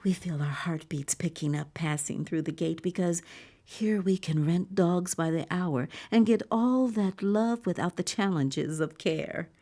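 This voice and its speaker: An awed visitor to a bleak future theme park in an audio drama. Her voice is low and dark, with heavy creak. She narrates with breathy wonder and wry understatement, often dropping toward a whisper. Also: measured pace. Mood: hushed wonder. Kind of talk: dramatic first-person audio fiction narration.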